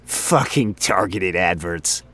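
A man's voice: deep voice, animated, slow, pushed and bright, heavily acted. A man voicing a chatty, oblivious male office coworker who rambles on with chuckles. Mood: rambling. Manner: rambling, chuckling, talks over others.

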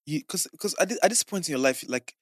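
A woman's voice: low-pitched, lively intonation, fast talker, dry recording, clean studio sound. A woman hosting a podcast, asking questions and murmuring agreement as her guest talks. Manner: encouraging, frequent murmured agreement. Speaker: podcast host. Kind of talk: casual interview.

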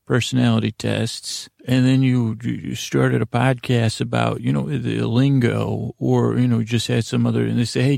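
Male podcast monologist, very gravelly, close dry sound, improvised and meandering, keeps interrupting himself. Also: medium pitch, a little mellow, dry recording. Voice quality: gravelly, creaky. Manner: meandering, halting, self-interrupting. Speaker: podcast host.

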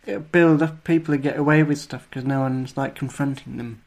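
A man's voice: mid-range voice, fairly even intonation, normal speed, creaky. A young man with a nasal voice, chatting casually as a podcast co-host, self-deprecating and chuckling often. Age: young adult. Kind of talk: casual chat.

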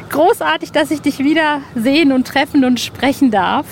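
A woman hosting a podcast, chatting in a lively, enthusiastic way with fillers. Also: high voice, slight background noise. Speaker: podcast host. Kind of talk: casual podcast chat. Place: rooftop terrace outdoors, construction noise nearby.

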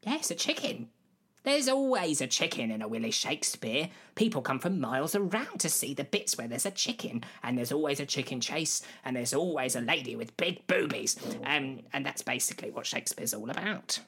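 A woman playing William Shakespeare as a silly, boastful playwright in a comedy sketch, rambling and emphatic with a husky voice. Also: very deep voice, big pitch swings, normal speed, fairly bright tone, exaggerated voice. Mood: boastful, matter-of-fact. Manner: rambling, emphatic, swooping exclamations.

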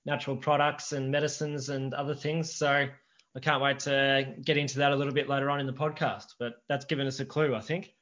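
A man's voice: medium pitch, flat delivery, brisk, a bit bright. Man co-hosting, lo-fi sound. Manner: friendly, laughs, adds comments. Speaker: podcast co-host. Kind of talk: casual interview chat.

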